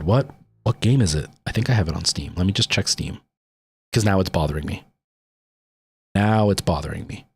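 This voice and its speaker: Rambling Canadian man chatting on stream. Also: on the low side. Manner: rambling, goes off on tangents; responds to viewer comments. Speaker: livestreamer. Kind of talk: casual chat with viewers.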